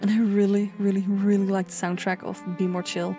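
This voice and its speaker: A woman's voice: flat delivery, brisk, mellow, faint music behind. A young woman chatting casually over a build, rambling with lots of fillers. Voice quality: low singing voice. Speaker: sims speed builder.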